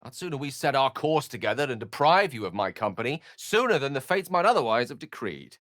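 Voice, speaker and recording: Learned male wizard, wry, earnest, theatrical, very animated intonation, articulate, fantasy video game acting, dry studio sound. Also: medium pitch, a little fast, no echo. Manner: articulate, erudite, theatrical phrasing. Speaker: video game companion character.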